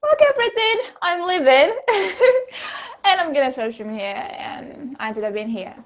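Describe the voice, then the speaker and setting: Young female streamer guest, bubbly and giggly, non-native English speaker with a slightly high voice. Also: animated, normal speed, lo-fi sound. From Kazakhstan. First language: Russian. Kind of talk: casual interview chat.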